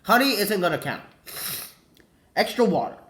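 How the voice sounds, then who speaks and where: A man's voice: high-pitched, sing-song, moderate pace, fairly bright tone. A teenage boy with a cold, congested and coughing, rambling casually and correcting himself, saying "like" a lot. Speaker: vlogger.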